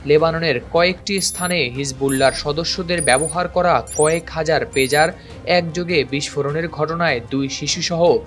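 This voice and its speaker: A man with a Bangladeshi accent reads a scripted news report in a formal, measured manner. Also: a little high, fairly bright tone. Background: background music.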